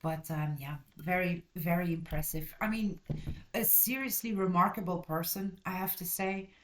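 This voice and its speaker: Host, female, from Belgium, lowish voice, casual review delivery, rambling and self-correcting, blunt. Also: normal speed, slight background noise. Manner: blunt and direct. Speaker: channel host reviewing a book.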